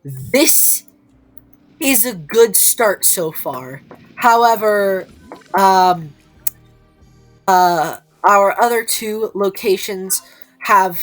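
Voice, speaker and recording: A woman's voice: on the low side, low-quality recording. A woman with a stuffy, slightly nasal voice gives hesitant, casual commentary over her gameplay, with many ums. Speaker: gameplay video creator. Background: faint background music.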